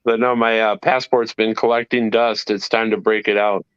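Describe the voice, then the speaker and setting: A man's voice: lowish voice, flat delivery, moderate pace, clear, resonant tone, no room sound, highs cut off. A man with a North Carolina accent chatting casually on a livestream, telling long eager stories about his travel plans.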